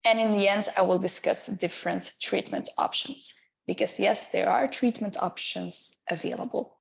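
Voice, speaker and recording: A woman in her thirties, Belgian-accented, presents a clinical webinar in English. Her voice sits in a middle register with a noticeable gravelly rasp. She explains things carefully, pausing here and there to find the right word. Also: medium pitch, rough recording. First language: not English. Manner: measured, explanatory, occasional hesitations.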